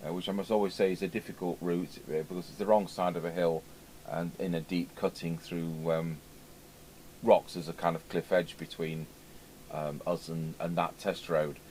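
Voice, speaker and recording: A man's voice: deep voice, little pitch movement, normal speed, darkish tone, poor audio. A man giving a casual hands-on repair demonstration as a technician, thinking aloud as he works. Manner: muttering to himself, thinking aloud while working. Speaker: radio repair technician presenting. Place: indoors at a repair workbench. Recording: clear background noise, workshop ambience.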